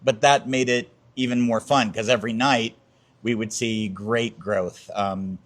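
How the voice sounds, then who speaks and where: A man's voice: lowish voice, steady tone, unhurried. An older man, a community theatre actor, chatting casually as an interview guest.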